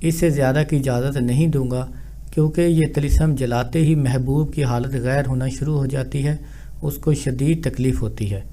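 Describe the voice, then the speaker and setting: A man speaking as a spiritual healer, giving instructions in a formal, measured religious monologue. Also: mid-range voice, fairly even intonation, dark tone, slight background noise.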